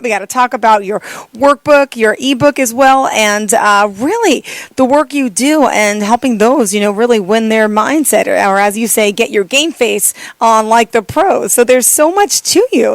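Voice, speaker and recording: An upbeat woman hosts an interview podcast and asks her questions with enthusiasm. Her voice sits fairly high. The sound is dry and close, with no room echo. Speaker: podcast host. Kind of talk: interview host.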